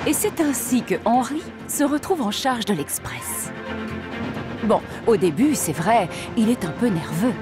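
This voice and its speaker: A woman narrating a children's cartoon story in a lively, expressive way. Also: fairly high pitch, measured pace. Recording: cartoon soundtrack with music.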